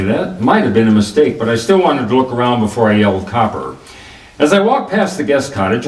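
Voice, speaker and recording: Man acting hardboiled noir private eye, animated pitch, wry and clipped, radio drama narration, distant mic. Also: natural voice. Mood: cool, suspicious. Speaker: narrator and lead actor. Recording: roomy, distant mic, faint noise.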